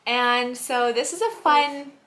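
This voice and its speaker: A woman in her thirties with a fairly high voice talks in an easy, casual vlog style, as a mom narrating her day. Some ambient noise sits behind her. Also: slow pace, a bit bright. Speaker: family vlogger and homeschooling mother. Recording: clear background noise.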